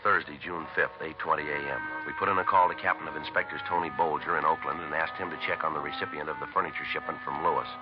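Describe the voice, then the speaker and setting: An American man playing a terse, methodical police sergeant in a 1950s radio crime drama, speaking in a deadpan, matter-of-fact, clipped way. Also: a bass voice, fast, hardly any pauses. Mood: flat, factual. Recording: dull vintage radio recording with music.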